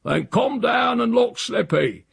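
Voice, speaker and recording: Storybook old uncle: rural English, cantankerous, roguish, very husky, swooping wildly in pitch. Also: male voice, huge pitch swings, slow pace, no room sound, clean studio sound, exaggerated voice. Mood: bellowing, irascible. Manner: goading, blunt, dialect-speaking.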